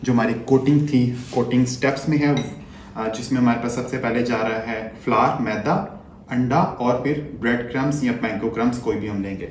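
A man's voice: medium pitch, normal speed. Chef guy in his 30s walking thru a recipe, echoey kitchen, bit of noise behind. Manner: step-by-step instructive, speaks while working with hands. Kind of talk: cooking demonstration. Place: home kitchen, indoors.

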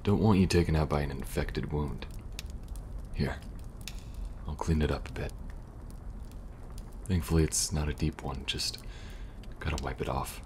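A man playing a weary, protective young survivor agent in an ASMR audio roleplay, speaking softly and reassuringly, a little hesitant. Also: rumbling low, measured pace, long pauses. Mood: gentle, caring. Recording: faint background noise and ambience.

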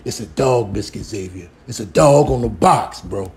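Man as an aggrieved slangy dog, lively delivery. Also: fairly high pitch, a little fast, echoey room, a crowd in the background. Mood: incredulous. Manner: incredulous, repetitive complaining. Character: slangy aggrieved pet dog, betrayed over treats. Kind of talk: comic animal voiceover.

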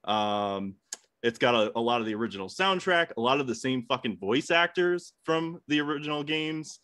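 Brief: young male debater, bright forceful voice, sweary casual banter, close dry mic. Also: medium pitch, expressive, normal speed, no room sound. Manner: sweary, joking. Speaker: debate contestant.

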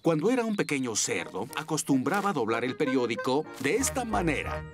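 A man voicing a jolly, easygoing father pig in a children's cartoon, expressive. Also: on the high side. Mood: cheerful musing. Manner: expressive, playful, drawn-out musing. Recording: music under the voice.

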